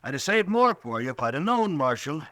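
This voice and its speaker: An actor playing an anxious, fussy small-town storekeeper in a 1950s radio drama. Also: male voice, a little high, sing-song, brisk, bright, forceful tone. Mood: eager. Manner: fussy, anxious, eager to please. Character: jittery small-town storekeeper, middle-aged man.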